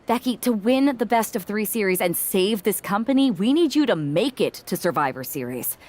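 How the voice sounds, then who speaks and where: A woman's voice: medium pitch, expressive, normal speed, a little mellow, natural voice. A woman playing an eager, loyal wrestler in a game cutscene, talking in an insistent, conversational way. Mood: insistent, concerned. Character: eager, loyal female wrestler urging on a friend. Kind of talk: video game cutscene dialogue.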